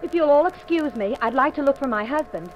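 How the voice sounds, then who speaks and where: An actress playing a high-strung, scheming wife who feigns innocence in a 1950s radio mystery. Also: female voice, lively intonation, a little fast. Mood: indignant. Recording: noisy, band-limited 1950s radio recording.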